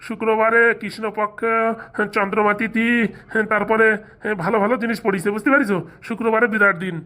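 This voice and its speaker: A woman's voice: fairly high pitch, little pitch movement, a little fast, a bit bright, natural voice. A woman voicing a greedy, eager male village priest in a comic cartoon, wheedling in a rural Bengali accent. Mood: pompous, eager.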